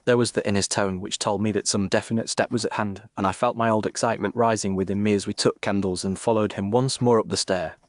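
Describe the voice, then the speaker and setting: A man with a fairly low voice narrates an audiobook mystery. His delivery is measured and formal, literary in style, with a very dry studio-like sound. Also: steady tone, dry recording. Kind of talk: scripted literary narration in first person.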